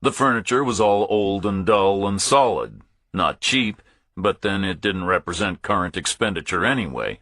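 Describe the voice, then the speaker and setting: A man reads a first-person thriller as an audiobook. His voice sits fairly low, with a forceful edge and a raspy grain. The sound is dry and close, with the measured phrasing of scripted narration. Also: lively intonation, no echo.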